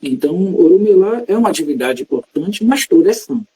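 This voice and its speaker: Man explaining in a dark, husky voice. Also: high-pitched, big pitch swings, rough recording. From Salvador, Bahia, Brazil. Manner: measured, teacherly, explains terms patiently. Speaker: religious practitioner guest. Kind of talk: explanatory interview talk.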